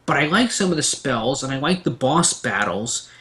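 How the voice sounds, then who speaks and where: A man's voice: mid-range voice, animated, normal speed, sharp tone. A man in a casual gaming discussion, giving opinions in a rambling, storytelling way as a panelist.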